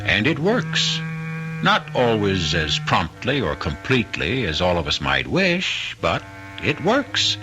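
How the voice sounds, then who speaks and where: Male narrator, very low voice, sweeping intonation, dramatic deliberate phrasing, mystery radio host, music behind. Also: wildly swooping pitch. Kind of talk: scripted narration, old-time radio mystery.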